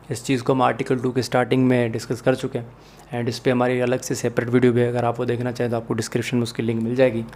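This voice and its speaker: A man's voice: mid-range voice, a little mellow. A man with a Pakistani accent giving a methodical explanatory lecture, mixing in English legal terms and setting out points one by one. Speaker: law lecturer.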